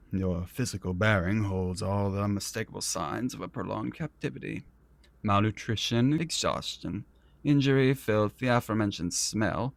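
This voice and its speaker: An actor playing an arrogant, vain and petulant prince in a fantasy audio roleplay, speaking in a pompous, theatrical and condescending manner. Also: male voice, lowish voice, vocal fry, no echo. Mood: intrigued, smug. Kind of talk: scripted ASMR roleplay audio drama.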